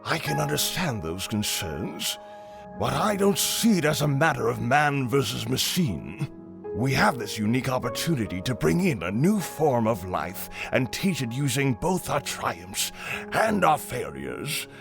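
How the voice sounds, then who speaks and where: A man acts out an idealistic older scientist in a comic dub. The voice is creaky and very animated in pitch, yet measured and formal in delivery. Music is clearly audible underneath. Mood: earnest. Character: kindly, idealistic older male robot scientist. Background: prominent music.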